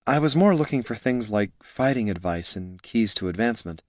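Man doing a young female mage, awkward, polite, soft, low, dry sound. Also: expressive, fairly quick, no echo, close to an everyday voice.

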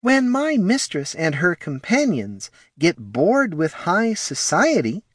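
A man's voice: high voice, huge pitch swings, takes it slowly, no room sound, clean studio sound, pitched above the performer's own voice, heavily acted. A man voicing a small, foppish kobold in an audiobook, with a thin voice and an airy, patronizing, affected manner. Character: small, snobbish, foppish male kobold courtier. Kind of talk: audiobook character voice.

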